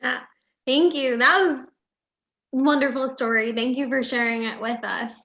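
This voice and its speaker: A woman's voice: high-pitched, fairly even intonation, moderate pace, creaky, fairly bright tone. A woman with an American accent casually hosting an event and interviewing a guest. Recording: dull, lo-fi online audio.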